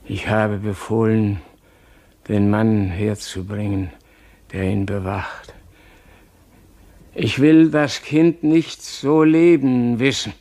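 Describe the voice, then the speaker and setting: A man's voice: medium pitch, lightly acted. An actor playing a tormented, fearful, imperious king in a German radio play, declaiming with anguish. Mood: grave, resolute. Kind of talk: German radio play, theatrical dramatic acting.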